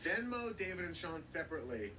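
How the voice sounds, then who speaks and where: A man's voice: fairly high pitch, very animated, fast, bright, forceful tone. A man hosting a podcast, joking and teasing in casual chat. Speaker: podcast host. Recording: distant mic, noisy background.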